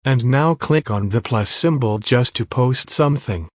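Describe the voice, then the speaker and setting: A man giving step-by-step software tutorial narration in a plain, instructional manner. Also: mid-range voice, a little slow, no echo, clean studio sound. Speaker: tutorial presenter.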